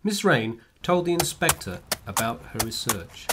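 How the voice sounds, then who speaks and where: A man's voice: mid-range voice, sing-song, normal speed, heavy background noise, lightly acted. A man reading scripted narration for a radio drama. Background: music.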